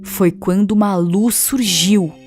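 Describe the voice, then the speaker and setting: A woman's voice: mid-range voice, slow pace, a little mellow. A woman with a Brazilian accent narrating a scripted children's story. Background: background music.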